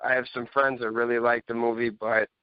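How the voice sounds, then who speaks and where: Man, mid pitch, smooth, casual fan chat, informal, lo-fi dry recording. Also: flat delivery, fairly quick, no echo. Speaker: returning panel guest.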